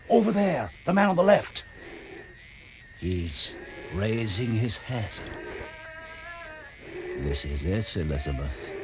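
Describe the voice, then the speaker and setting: An actor in a radio drama playing a tense, knowing male schoolteacher, explaining with dramatic urgency. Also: mid-range voice. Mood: urgent, alarmed. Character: tense, knowing schoolteacher guiding a companion through danger. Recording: music under the voice.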